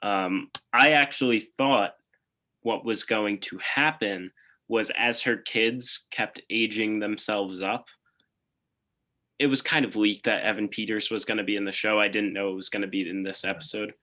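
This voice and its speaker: A man's voice: lowish voice, fairly even intonation, normal speed, sharp tone. A man co-hosting a podcast in casual chat, thinking aloud and often hedging what he says. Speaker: podcast co-host.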